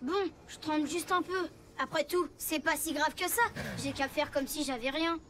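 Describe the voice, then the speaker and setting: High-pitched little boy motorbike. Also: moderate pace, fairly bright tone, a little hiss or hum. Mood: worried. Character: young boy rescue motorbike, earnest and nervous. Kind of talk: children's cartoon dub. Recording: music behind the voice.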